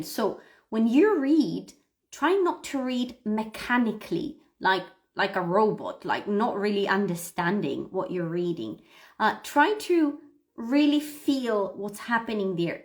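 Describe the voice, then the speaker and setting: A woman hosting a live English pronunciation lesson, explaining things to viewers in an instructive, expressive way with emphasis and frequent checks for understanding. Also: on the high side. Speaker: English pronunciation teacher, livestream host. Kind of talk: live lesson talking to viewers, demonstrating read-aloud.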